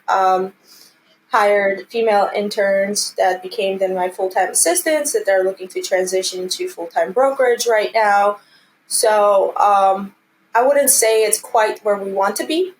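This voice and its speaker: Earnest woman in a noisy interview recording. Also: medium pitch. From Armenia. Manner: earnest, encouraging. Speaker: interview guest, commercial real estate team lead. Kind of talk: conversational interview answers.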